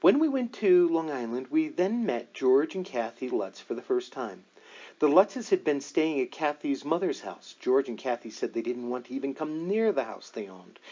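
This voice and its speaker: Man, expressive audiobook narration, measured storyteller delivery, swooping intonation, dull lo-fi recording. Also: on the high side. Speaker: audiobook reader.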